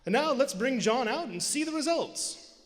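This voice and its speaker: A man's voice: very high-pitched, expressive, moderate pace. Vintage radio announcer acted by a man: hearty, polished, emphatic, swooping delivery, music behind, echoing hall. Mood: hearty, salesmanlike. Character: hearty, theatrical old-time radio announcer and pitchman. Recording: live theater, long hall reverb.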